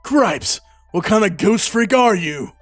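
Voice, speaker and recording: A man puts on a cartoonish, grumbling glutton for a comic book drama. The voice is raspy and strained high, drawn out very slowly over soft music. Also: animated, no echo, full character voice. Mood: alarmed.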